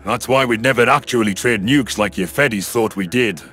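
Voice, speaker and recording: A man voicing a calm, stern submarine commander with a measured, authoritative manner in an audio story. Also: mid-range voice, slightly rough, faint music behind. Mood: proud, menacing. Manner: measured, authoritative, proud explaining.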